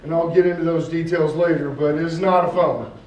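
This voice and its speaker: A folksy man speaks to a community group, joking about himself as he goes. His voice sits high for a man and carries through a roomy, echoing space, picked up from well off the mic. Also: fairly even intonation, brisk, darkish tone, a little hiss or hum. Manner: folksy, jokey, self-deprecating. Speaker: guest speaker, public outreach coordinator. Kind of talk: public talk to a community group. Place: community meeting room. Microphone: speaks without a microphone. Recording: echoey room, far from the mic.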